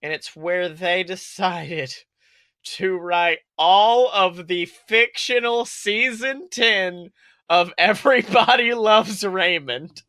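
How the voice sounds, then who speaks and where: A man's voice: high voice, takes it slowly, pushed and bright, clear, resonant tone, no echo. A man co-hosting a podcast with casual comedic banter, rambling and exclamatory. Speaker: podcast co-host.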